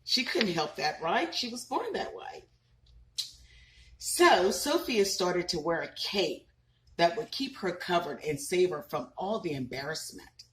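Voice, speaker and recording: A woman in her thirties reads a children's storybook aloud in a lower voice that rises and falls a great deal. She takes her time, breaking off to ask the listeners questions. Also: a little slow, slight background noise, low-quality recording. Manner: expressive, asks listeners questions. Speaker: parent reading to children. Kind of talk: reading a picture book aloud with asides.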